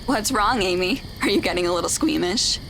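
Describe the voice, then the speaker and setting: A woman's voice: on the low side, fairly quick, gravelly, fairly bright tone, a little hiss or hum, rough recording. A woman playing a teasing girl who tells spooky stories in an audio drama. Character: teasing girl telling a spooky ghost story. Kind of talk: suspenseful storytelling in audio drama. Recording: music under the voice.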